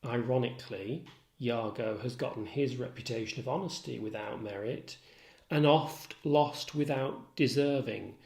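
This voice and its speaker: A man lecturing as a literature tutor, measured, articulate and instructive in his delivery. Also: mid-range voice, vocal fry, a little mellow. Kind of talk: literature lecture with recited quotations. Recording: roomy echo.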